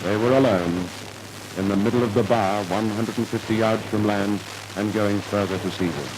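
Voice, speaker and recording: A man's voice: lowish voice, steady tone, normal speed, low-quality recording. A man narrating a scripted 1950s radio drama in a formal, dramatic storytelling manner, on an old radio recording.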